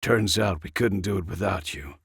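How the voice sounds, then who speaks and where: Audiobook casting: hardened older male soldier acted by a male reader, gruff, insistent, gravelly low voice. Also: lively intonation, moderate pace, no echo, studio quality, full character voice. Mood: pleading.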